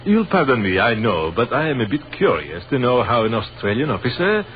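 An actor playing a cultivated French artillery captain who speaks polite, formal English with a French accent, in 1930s radio drama style. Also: male voice, medium pitch, sing-song, a little fast, lightly acted. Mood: courteous, genial. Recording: muffled 1930s radio recording with music.

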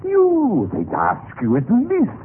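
A man's voice: high-pitched, wildly swooping pitch, slow pace, narrow, tinny audio, exaggerated voice. An actor playing a wheedling, thrifty, self-important tailor with a salesman's patter in a radio drama. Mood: wheedling, boastful. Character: wheedling, boastful, thrifty male tailor fond of drink. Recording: thin old radio, faint noise.

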